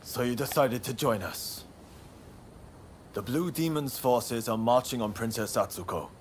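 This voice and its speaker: Game hero's ally: actor as courteous, resolute samurai. Medium pitch, measured command, faint background noise. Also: male voice, lightly acted. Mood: grave, grateful. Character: resolute, courteous samurai-era loyalist fighter. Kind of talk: dramatic video game cutscene acting.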